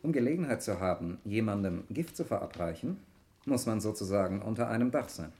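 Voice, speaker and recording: An actor playing a sceptical, argumentative stepson in a 1960s German radio play, questioning in a pointed, challenging way. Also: male voice, lowish voice, expressive, fairly quick, warm tone. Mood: confident.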